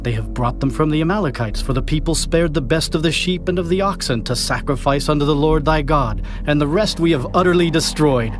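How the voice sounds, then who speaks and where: A man's voice: a little high, a little fast, hardly any pauses, music in the background, close to an everyday voice. An actor playing a proud male king insisting he has obeyed, in a dramatized scripture reading. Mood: defensive. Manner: defensive, insistent. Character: Saul, a proud, self-justifying male king.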